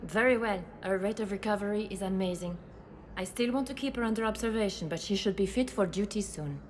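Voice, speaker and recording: A woman's voice: mid-range voice, fairly quick, natural voice. A woman playing a composed, courteous, professional doctor in a video game.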